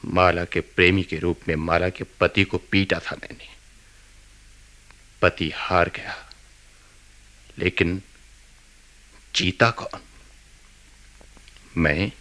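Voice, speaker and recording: Radio drama: tormented, brooding lover, deep and deliberate, introspective, bitter. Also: male voice, bright, forceful tone, full character voice. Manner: halting, introspective monologue with heavy pauses. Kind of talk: Hindi radio play acting.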